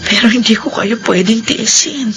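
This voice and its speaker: A woman's voice: on the high side, moderate pace, close to an everyday voice. Woman playing a tearful grieving widow. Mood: pleading. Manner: shaky, stammering, tearful. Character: widowed young mother, anxious and grieving. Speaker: radio drama actor. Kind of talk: radio drama acting. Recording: radio drama with faint music.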